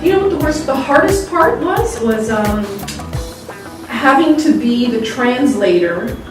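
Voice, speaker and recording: A woman's voice: high voice, animated, unhurried. Chatty woman in an echoey room. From Greece, raised in Toronto. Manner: chatty, laughs often, many fillers. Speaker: interviewee, school staff. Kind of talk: casual interview chat. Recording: echoey room, distant mic, music behind.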